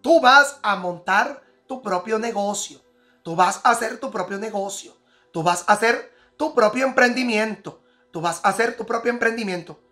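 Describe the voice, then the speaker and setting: Emphatic man reading tarot, soft music behind. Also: high voice, moderate pace. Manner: repetitive, emphatic, rephrasing each prediction. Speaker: tarot reader. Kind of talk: tarot prediction monologue.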